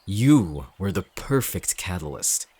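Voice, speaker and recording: An actor playing a cold, calculating villain in an audio drama, speaking in a measured, smug, menacing way. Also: male voice, low-pitched, sing-song, darkish tone, husky, dry recording, exaggerated voice. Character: cold, calculating male villain leading an anti-shifter operation. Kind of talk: dramatic audio roleplay acting.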